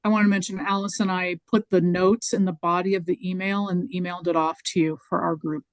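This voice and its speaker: A woman with a lowish voice speaks over a video call. The sound is dry and close, and she delivers a brisk, friendly update to the group. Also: little pitch movement, no room sound. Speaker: meeting participant.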